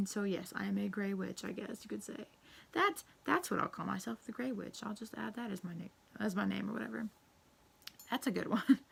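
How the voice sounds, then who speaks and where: Woman, hoarse and gravelly, husky, rambling casual vlog chat, swears a lot. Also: mid-range voice, normal speed. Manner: profane, rambling, frequent fillers. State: losing her voice, croaky. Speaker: witchcraft vlogger. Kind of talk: casual tag-video chat.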